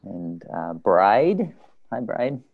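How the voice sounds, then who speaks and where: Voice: sits low, big pitch swings, extremely slow, vocal fry, narrow, tinny audio. A host patiently guiding people through introductions on a video call in a casual, instructive way. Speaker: host of an online group call.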